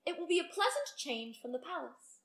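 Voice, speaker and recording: A woman's voice: high-pitched, very animated, moderate pace, fairly bright tone, pitched above the performer's own voice, full character voice. Woman voicing a formal, commanding storybook king. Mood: decisive. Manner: formal, declaring. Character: commanding, grave king and father.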